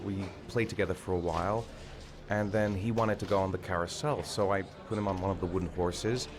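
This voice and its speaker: An actor voicing a distraught father being questioned by the police about his missing son, speaking haltingly. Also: deep voice, crowd noise, close to an everyday voice. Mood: shaken. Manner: halting, shaken. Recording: game audio with ambient noise.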